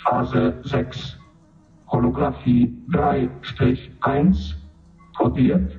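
An actor in a German radio drama playing an impassive session announcer with procedural, deadpan delivery. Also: male voice, mid-range voice, drawn-out words, soft background music. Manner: clipped phrases, procedural, deadpan. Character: impassive male session announcer running a recorded presentation. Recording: dull, echoey, distant chamber sound.

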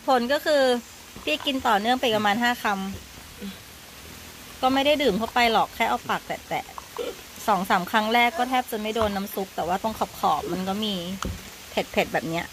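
A woman's voice: medium pitch, animated, moderate pace, low-quality recording. A woman chatting casually while filming her baby on a veranda, playful and talkative. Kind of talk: casual family vlog chat. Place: covered veranda on a rainy day. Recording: phone video with loud rain noise. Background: rain and outdoor ambience.

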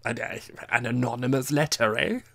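A man's voice: mid-range voice, fairly even intonation, moderate pace, gravelly, sharp tone, pitched above the performer's own voice. A man voicing a gallant army major in an audiobook, hearty and clipped, dropping small words. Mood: jovial, amused. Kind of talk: audiobook character voice.

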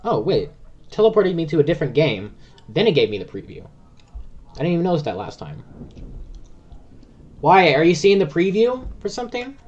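A man's voice: a little high, moderate pace, long pauses, highs cut off. A young man giving casual gameplay commentary, cheering on a teammate. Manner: excited, urging on a teammate. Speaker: gamer.

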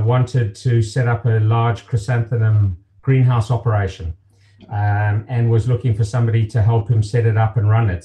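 A man in his fifties from Zimbabwe, a company director telling his story as a guest on a video call, in a relaxed way with many fillers. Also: lowish voice. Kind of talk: casual interview. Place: home office, indoors.

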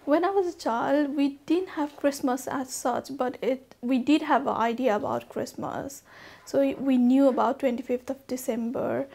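High-voiced woman from Nepal. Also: normal speed, gravelly, mellow. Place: shop indoors.

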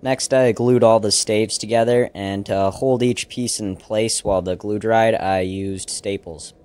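A man's voice: on the low side, steady tone, a little slow, a little mellow. A man narrating a scripted woodworking how-to in a plain, matter-of-fact, step-by-step way. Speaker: woodworker, video host.

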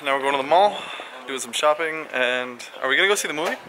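A man's voice: on the high side, expressive, fast talker, echoey room, noisy background. A man in his twenties talking casually into a handheld camera as a vlogger, wry and self-mocking. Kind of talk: casual vlog chat. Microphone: handheld camera at arm's length.

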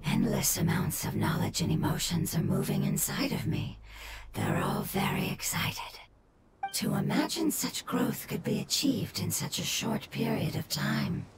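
A solemn, gentle water spirit from a video game. The voice is breathy and measured, with gravelly creak and a very wide pitch range. Loud music plays under it. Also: female voice, wildly swooping pitch, full character voice. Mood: grateful, solemn. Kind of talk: scripted video game dialogue.

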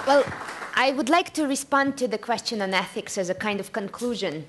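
A woman's voice: a little high, moderate pace, a bit bright, a faint crowd. A woman moderating a conference panel who builds her closing remarks around rhetorical questions. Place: conference room.

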